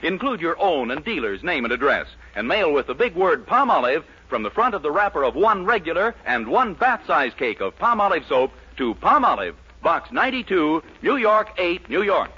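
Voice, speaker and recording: American male announcer, 1940s radio, emphatic and very animated, husky edge, muffled old recording. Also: a little high, moderate pace, few pauses.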